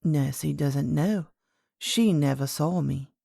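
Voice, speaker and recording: Female reader as an old lady, lowered pitch, slow delivery, kindly, calm, mysterious, storybook queen. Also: vocal fry, no echo, studio quality, exaggerated voice. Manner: calm, measured, kindly, enigmatic. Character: very old, gentle, mysterious great-great-grandmother queen. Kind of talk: storybook character voice.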